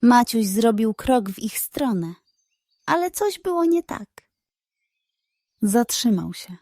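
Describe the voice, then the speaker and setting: A woman reads a children's bedtime story at a slow, unhurried pace. Her voice is fairly high, and the recording is dry and close. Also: very animated, mellow, no echo.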